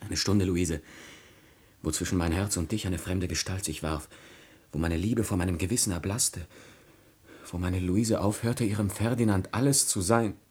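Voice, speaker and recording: An actor playing a passionate, defiant young nobleman in love in a classical tragedy radio play, declaiming in a husky voice. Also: sits low, steady tone, moderate pace, darkish tone. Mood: fervent, troubled. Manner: declamatory, impassioned.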